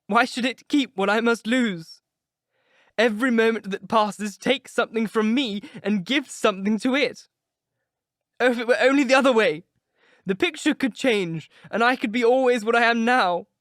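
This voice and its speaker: A man's voice: high-pitched, a little slow, pauses often, no room sound, studio quality, pitched above the performer's own voice. A man voicing an anguished vain youth. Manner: impassioned, rising to anguished cries. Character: anguished vain young man, beautiful, fearing age. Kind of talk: reading of a classic novel aloud.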